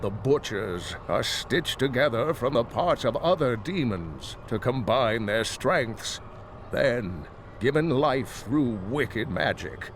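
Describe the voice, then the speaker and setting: A man playing a thoughtful scholar narrating a journal in a video game, in a measured way. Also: medium pitch, big pitch swings, slight background noise. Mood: thoughtful, ominous. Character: scholarly male chronicler reading his journal. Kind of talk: scripted narration.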